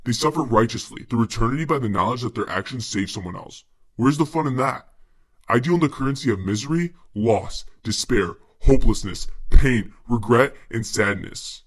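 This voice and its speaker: A man voicing a gloating, menacing demon who bargains slowly and theatrically in a comic book story. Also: medium pitch, animated, lightly acted. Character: sinister male demon, gloating, smooth-talking bargainer.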